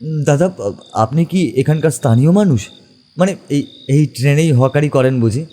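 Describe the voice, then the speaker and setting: High-voiced, excitable young-sounding male traveller acted by a man. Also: moderate pace, natural voice. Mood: curious. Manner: hesitant, repeating words, inquisitive. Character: excitable, curious male traveller friend, Bankim. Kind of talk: audio horror story acting.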